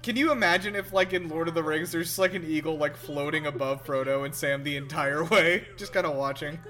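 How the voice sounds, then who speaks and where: A man's voice: fairly high pitch, a little fast, pushed and bright, faint music behind. A man co-hosting a reaction show, giving casual, sarcastic commentary on a film.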